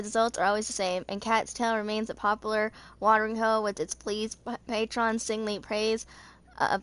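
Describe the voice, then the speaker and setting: A woman's voice: medium pitch, a bit bright. A woman in her twenties hosting a gaming video, chatting casually and reading game text aloud, sometimes stumbling over words. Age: 20s.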